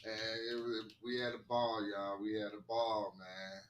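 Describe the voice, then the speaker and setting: A man's voice: on the low side, fairly even intonation, moderate pace, a little hiss or hum. A man co-hosting a podcast with playful, hype, slangy banter. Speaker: podcast co-host. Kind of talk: casual chat. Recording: roomy, distant mic.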